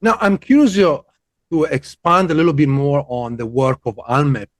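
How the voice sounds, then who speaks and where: A man hosting a podcast, reading a scripted introduction with deliberate, dramatic pacing, then asking the guest questions. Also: a little high, no room sound, studio quality. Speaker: podcast host.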